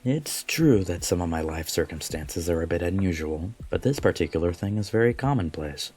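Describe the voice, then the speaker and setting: A man playing a gentle, modest alien café regular, speaking politely and sincerely in a fan-dubbed visual novel. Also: low-pitched, expressive, normal speed, husky.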